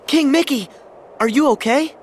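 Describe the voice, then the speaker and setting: A man's voice: very high-pitched, moderate pace, a little hiss or hum. A young man voicing an eager, kind teenage boy hero who talks earnestly and asks questions. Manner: earnest, inquisitive. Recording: game audio with music behind.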